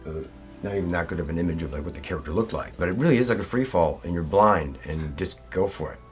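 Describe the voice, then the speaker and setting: A man's voice: sits low, lively intonation, fast talker, faint music behind. A man speaking casually as a voice actor in a promotional interview.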